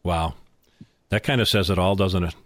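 A man's voice: low-pitched, fairly even intonation, normal speed, a bit bright, no echo. A man hosting a radio business show, speaking informally and bluntly in a conversational interviewing style.

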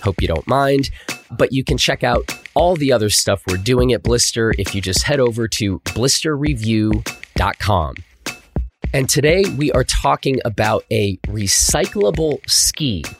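A man with a slightly raspy voice, speaking as a podcast host introducing and interviewing. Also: medium pitch, lively intonation, a little slow, faint music behind.

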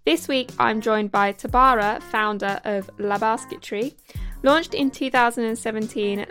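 A woman hosting a podcast interview, enthusiastic and friendly as she asks questions. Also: medium pitch. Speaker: podcast host.

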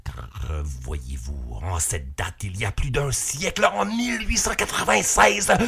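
A man's voice: mid-range voice, lively intonation, measured pace, creaky, pushed and bright, husky. A man with a Québécois accent, hosting a podcast in a theatrical, declamatory way with dramatic swoops, reciting poetry. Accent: Québécois French. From Quebec. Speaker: podcast host.

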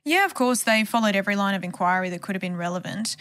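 A woman's voice: medium pitch, animated, quick pace, fairly bright tone. A woman journalist giving a conversational podcast account of a case. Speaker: journalist who covered the case.

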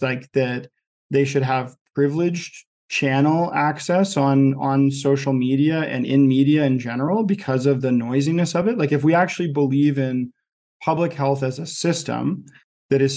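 A man with a Canadian accent hosting a podcast, talking things through slowly with frequent restarts and fillers. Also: mid-range voice, a little mellow. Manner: thinking aloud, many restarts and "like". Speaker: podcast host. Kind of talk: conversational podcast discussion.

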